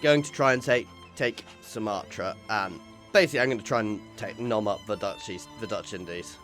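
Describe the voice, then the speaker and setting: A man's voice: on the low side, normal speed, a bit bright, soft background music. A man giving casual let's play commentary on a strategy game, thinking aloud with playful exclamations. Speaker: gaming video host.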